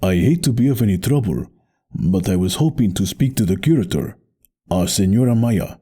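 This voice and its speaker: An actor playing a noble masked champion wrestler in an audio drama, grave, stern and formal. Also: male voice, lowish voice, animated, normal speed, warm tone, breathy edge. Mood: polite, formal.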